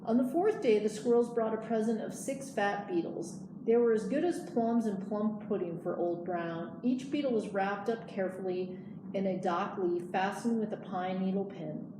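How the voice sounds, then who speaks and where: A woman's voice: mid-range voice, normal speed. A woman reading a children's storybook aloud as a librarian storyteller. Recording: echoey room, clear background noise.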